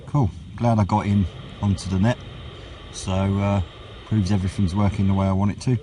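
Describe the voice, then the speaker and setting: A British man chatting casually in a vlog, with a rambling, unhurried, low-key way of talking. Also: level tone, mellow. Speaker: radio hobbyist vlogger. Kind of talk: casual outdoor vlog chat. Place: outdoors on wooded high ground by a road. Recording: outdoor noise with passing vehicles.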